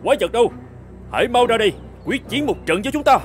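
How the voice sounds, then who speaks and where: A man's voice: very high voice, expressive, fast, music in the background. A man voicing a brave, earnest young prince in a fairy-tale cartoon, speaking in a declamatory way. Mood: defiant.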